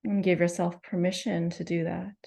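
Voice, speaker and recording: A woman leading a guided meditation, speaking slowly and gently with soothing instructions and long pauses. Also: fairly low pitch, flat delivery, vocal fry, slightly rough. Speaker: meditation teacher.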